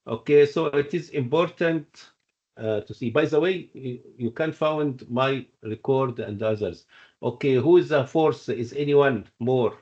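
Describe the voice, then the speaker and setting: A man's voice: medium pitch, unhurried, a little mellow. Male medical tutor on a dull online call. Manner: repeats points, checks understanding often. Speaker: medical lecturer and exam coach. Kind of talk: interactive online teaching with questions and explanations.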